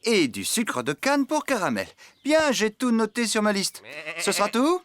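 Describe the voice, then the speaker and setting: A man's voice: sits high, normal speed. A man voicing a friendly, forgetful farmhand in a children's cartoon, eager to please and slightly flustered. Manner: animated, good-natured, slightly flustered. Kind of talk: French children's cartoon dubbing. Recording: background music under the voice.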